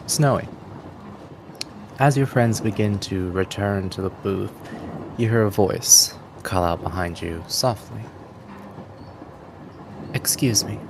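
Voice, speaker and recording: A man narrating a tabletop roleplaying game as game master, with measured, evocative storytelling. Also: on the low side, gravelly, a little hiss or hum. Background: music.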